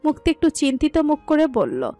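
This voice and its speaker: A woman's voice: high voice, wildly swooping pitch, a little fast, dark tone. A woman reading scripted narration of a romantic story as its storyteller. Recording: music under the voice.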